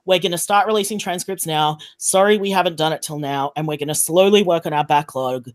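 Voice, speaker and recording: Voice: mid-range voice, a little fast. A podcast panelist making points emphatically in casual discussion.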